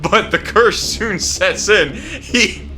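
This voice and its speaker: Bright, high-voiced man, amused and sweary. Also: big pitch swings, takes it slowly. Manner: sweary, amused, often breaking into laughter. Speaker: video host. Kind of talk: casual commentary, reading a comic summary aloud.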